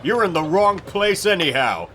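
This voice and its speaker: A man's voice: high-pitched, very animated, normal speed, fairly bright tone, slight background noise. A man playing a cocky, mocking teenage bully, taunting and swaggering, in a comic book dramatic reading.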